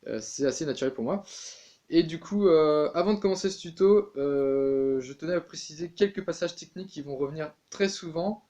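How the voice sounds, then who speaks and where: A man talks through a tin whistle lesson in a relaxed, chatty way. His voice sits a little high, and he fills pauses often and restarts his sentences. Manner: chatty, many fillers and restarts. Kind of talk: casual music tutorial.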